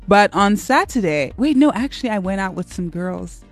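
A woman's voice: mid-range voice, lively intonation, moderate pace. A young woman co-hosting a radio show in casual, bubbly chat, rambling with filler words. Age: young adult. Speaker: radio co-host. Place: radio studio. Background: faint music bed.

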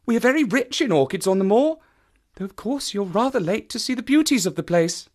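A narrator voicing a proud, eager young woman in a hushed, urgent storybook manner. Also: animated, a little fast, natural voice. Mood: guarded, polite. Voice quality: low eager voice with a lisp.

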